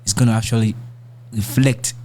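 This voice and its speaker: Young nigerian guy being interviewed on a podcast, casual, kinda halting, medium pitch. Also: steady tone, mellow, slight background noise. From born in Nigeria, raised in Dallas. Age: young adult.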